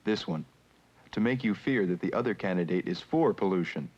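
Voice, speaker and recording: A man narrating an educational film in a measured, instructional manner, with questions put to the viewer. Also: sits low, expressive, a little mellow, slightly rough. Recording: muffled 1970s educational film soundtrack with music.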